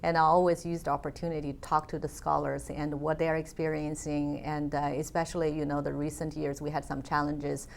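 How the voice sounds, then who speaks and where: A woman's voice: low-pitched, flat delivery, fairly quick, creaky, few pauses, slight background noise. A woman, a guest in an interview, speaking thoughtfully with frequent fillers. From China. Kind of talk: conversational interview.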